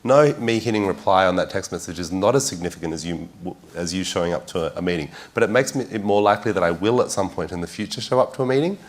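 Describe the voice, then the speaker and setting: Deep-voiced fast-talking man on a panel. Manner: thoughtful, repeats words while building a point. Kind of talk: panel discussion.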